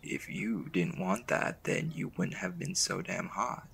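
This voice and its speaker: Very deep, gravelly young boyfriend, acted by a man. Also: fairly even intonation, a little hiss or hum, full character voice. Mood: tender teasing. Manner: soft, halting, stammering when flustered. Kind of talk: intimate romantic audio roleplay, ASMR.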